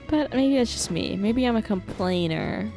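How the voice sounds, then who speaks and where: A woman streamer talks casually over a game with soft music behind her. Her voice swings widely in pitch, and she is playfully sarcastic, reactive and quick to swear. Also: lively intonation. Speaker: live streamer. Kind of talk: casual game livestream commentary, chatting with viewers.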